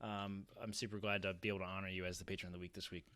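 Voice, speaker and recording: Clear-voiced man chatting casually. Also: low voice, little pitch movement, very fast, sharp tone. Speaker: podcast co-host. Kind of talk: casual chat. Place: small home room, indoors. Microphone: close desk microphone, headset on.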